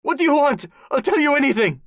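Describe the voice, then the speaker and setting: A wounded warlord taken captive in an audiobook thriller. His thick foreign accent rides on a voice pushed high and swinging widely, snarling with defiance and desperate pleading. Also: lively intonation, normal speed, a bit bright, dry recording. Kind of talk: audiobook character voice.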